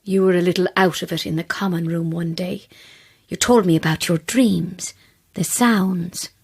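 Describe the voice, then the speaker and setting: A woman playing an eerie, obsessive believer in spirits in an audio drama, with a breathy voice and an insinuating, insistent manner. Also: fairly low pitch, steady tone, moderate pace. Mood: quiet menace. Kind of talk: dramatic audio fiction acting.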